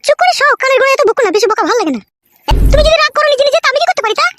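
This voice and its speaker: A woman voicing a bossy, sharp-tongued young wife in an amateur comic cartoon, scolding curtly with foul-mouthed threats. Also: very high voice, lively intonation, rapid-fire. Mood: dismissive, irritated. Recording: loud noise behind the voice.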